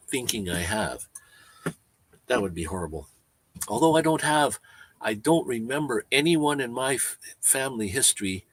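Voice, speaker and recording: A man around seventy with a Canadian accent, chatting casually on a livestream, rambling and halting with frequent restarts. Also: fairly low pitch, fairly bright tone, breathy edge. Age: 70s. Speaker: van-life vlogger.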